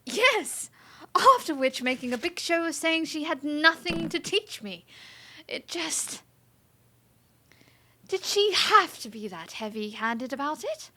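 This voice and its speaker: A woman's voice: sits high, sing-song, takes it slowly, pushed and bright, heavily acted. A woman playing a proud, sulky young princess in a fantasy audio drama, with a formal and petulant way of talking. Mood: indignant, exasperated.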